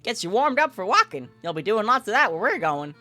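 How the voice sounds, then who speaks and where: A woman's voice: mid-range voice, very animated, brisk, bright, forceful tone, soft background music. Woman putting on a cheerful, folksy country farmer man. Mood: jolly, teasing. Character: cheerful folksy male farmer trucker, hearty country talker. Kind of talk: visual novel character read-aloud.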